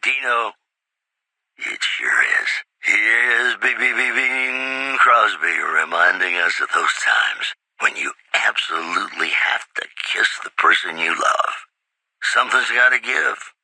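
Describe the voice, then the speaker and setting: An actor playing a suave, romantic radio DJ in a video game, smooth-talking and intimate, with theatrical pauses and emphasis. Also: male voice, lowish voice, expressive, bright, forceful tone, no room sound, full character voice, rough recording. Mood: flirty, romantic. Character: suave romantic male lounge-style radio host, flirty and sincere. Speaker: radio DJ and newsreader. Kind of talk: retro radio DJ patter and news bulletins.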